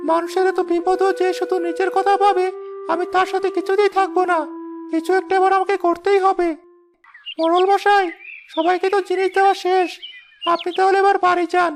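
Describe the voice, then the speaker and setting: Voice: extremely high pitch, little pitch movement, a little fast, slight background noise. An actor voicing a headman's young helper, earnest and righteous, in a cartoon. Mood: indignant. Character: headman's indignant young helper turned whistleblower. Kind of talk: cartoon voice acting. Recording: music behind the voice.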